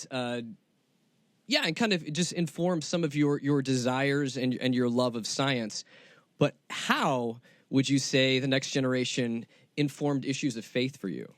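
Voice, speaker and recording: A man's voice: medium pitch, fairly bright tone, dry recording. A man hosting an interview, talking conversationally as he addresses his guest and listeners. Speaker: podcast interview host.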